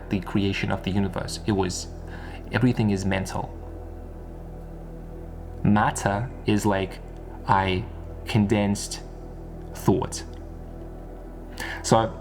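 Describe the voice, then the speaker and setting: A man giving a casual explanatory monologue like an online coach, repeating points for emphasis and often checking for agreement. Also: lowish voice. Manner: emphatic and repetitive, often seeking agreement with tag questions. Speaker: YouTube business and spirituality coach.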